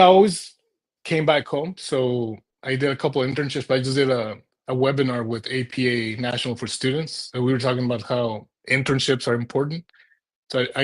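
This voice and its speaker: A man in his thirties from Mexico who grew up in Houston, speaking as an interview guest and telling personal stories in an informal, rambling way with rhetorical questions. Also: medium pitch. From Mexico, moved to Houston as a child. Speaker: urban planner, interview guest. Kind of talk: conversational personal storytelling in an interview.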